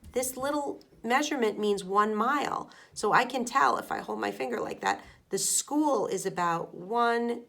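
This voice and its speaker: Slow-paced woman teacher reading to children. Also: medium pitch, fairly bright tone. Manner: simple, deliberate phrasing for young children, with questions to listeners. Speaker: elementary school teacher. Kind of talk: children's picture book read-aloud with explanations.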